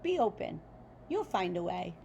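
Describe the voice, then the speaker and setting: A woman voicing friendly, confident numbers in a children's storybook, with a brisk, encouraging manner. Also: on the low side, big pitch swings, gravelly, mellow, highs cut off, slight background noise, voice pushed down. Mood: reassuring.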